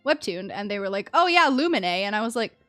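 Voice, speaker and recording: A woman's voice: medium pitch, big pitch swings, fairly quick, pushed and bright, no room sound, soft background music. A woman giving casual, chatty live commentary as she streams a game, reacting to the story.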